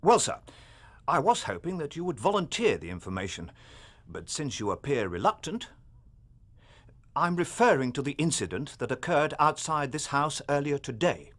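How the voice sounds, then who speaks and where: An actor with an English accent playing a stern, dogged Victorian police inspector in a radio drama, firm and impatient. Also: male voice, a little high, very animated, moderate pace, a bit bright. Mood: politely insistent. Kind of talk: period radio drama dialogue.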